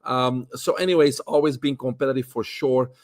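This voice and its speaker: A man in his fifties from Belgium with a Belgian accent, a guest relaxed telling his life story in casual talk with many fillers. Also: medium pitch, moderate pace. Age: 50s. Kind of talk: casual interview chat.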